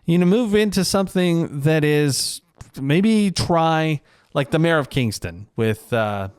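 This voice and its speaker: A man's voice: a little high, animated, slow pace, smooth, no echo, studio quality. A man talking casually and reflectively on his own, in a conversational, rambling, self-deprecating way, like a vlogger. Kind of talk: casual reflective solo commentary.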